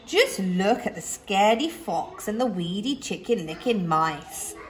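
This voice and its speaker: A woman's voice: mid-range voice, lively intonation, unhurried, natural voice. A woman voicing a mean, sneering rat gang leader in a children's story, taunting smaller animals.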